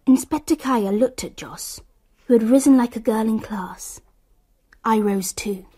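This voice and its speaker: An actress playing a sensitive, wistful English girl who narrates a radio drama in a hushed, breathy, literary way. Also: on the high side, unhurried. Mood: anguished.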